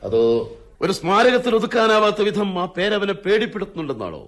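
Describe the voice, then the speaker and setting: A man playing a cunning, domineering older father, a retired forest ranger, in a radio drama, speaking in a stern, probing, measured way. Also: high voice, sing-song, fairly bright tone. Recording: radio drama with music behind.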